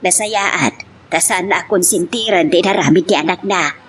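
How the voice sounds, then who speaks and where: A woman's voice: fairly low pitch, normal speed, close to an everyday voice. A woman with an Ilocano accent playing an emotional mother and wife in a family love drama. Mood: concerned. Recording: clear background noise.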